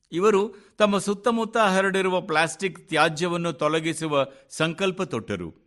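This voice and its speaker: A man reads a formal scripted address for radio, in measured, deliberate phrases with clear pauses. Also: fairly high pitch, a bit bright. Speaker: radio reader of a translated speech.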